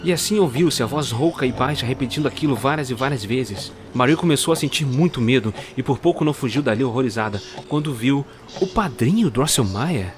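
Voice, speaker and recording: A man narrating a dark Christmas tale at a mid pitch, measured and suspenseful. He now and then turns to speak straight to the listener. A faint noise sits behind him. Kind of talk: scripted storytelling narration.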